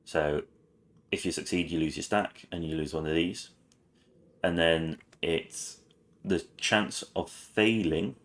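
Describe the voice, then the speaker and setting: A very low-pitched man talks through a game guide. His voice is raspy this morning, and he rambles with frequent ums. His delivery is casual and unhurried. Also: fairly even intonation, a bit bright. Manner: rambling, many ums and restarts. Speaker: gaming channel host.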